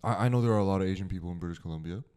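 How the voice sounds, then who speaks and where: A young man co-hosting a casual podcast, relaxed, mostly giving short agreeing replies. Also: low voice, racing, clear, resonant tone. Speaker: podcast co-host.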